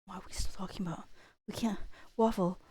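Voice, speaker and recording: A woman's voice: mid-range voice, fairly even intonation, fairly quick, mellow, husky, no echo. A woman livestreamer chatting casually during a game, rambling and thinking aloud as she gets sidetracked. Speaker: live streamer. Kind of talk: casual livestream chat while playing a game.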